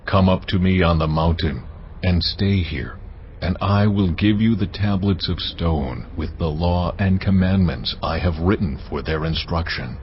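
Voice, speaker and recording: A man voicing God in a dramatized scripture reading, speaking in a measured, commanding way. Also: deep voice, slight background noise. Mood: solemn. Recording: dull lo-fi audio, faint music.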